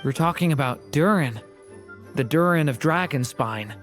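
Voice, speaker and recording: Game adventurer, young: slightly low pitch, thoughtful and earnest, measured delivery over music. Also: female voice, expressive. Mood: pensive. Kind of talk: video game voice acting.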